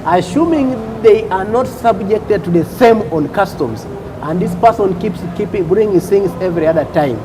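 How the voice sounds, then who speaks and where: A man from Uganda, a customs official on a talk show, explaining rules deliberately and repeating points with "okay?" checks. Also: sits high, expressive, noisy background.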